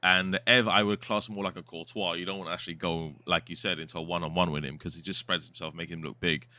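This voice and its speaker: A chatty man hosts a live futsal stream, reading out viewer comments between remarks. His voice is very deep, bright and crisp. The sound is close and dry. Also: steady tone, fast talker, no room sound. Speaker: host and co-commentator.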